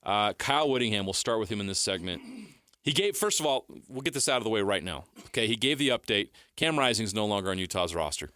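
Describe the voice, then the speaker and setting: A man's voice: fairly low pitch, lively intonation, fast, sharp tone. A man hosting a sports radio show, steering the talk and asking a former player for his take. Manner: steers the talk, reads sponsor copy.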